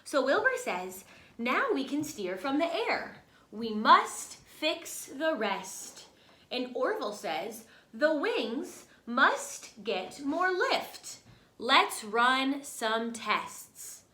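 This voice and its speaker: A woman in her thirties, a teacher, reading a picture book aloud to her class from her living room couch and pausing to ask the children questions. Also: high-pitched. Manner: slow, expressive storytime reading with questions to children. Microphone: phone camera at a short distance. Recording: echoey room.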